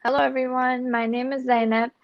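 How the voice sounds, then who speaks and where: A teenage girl from Turkey, a panelist, speaking in an even, steady way. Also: high-pitched, fairly quick, no echo. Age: teens. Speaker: student panelist. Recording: dry dull lo-fi audio.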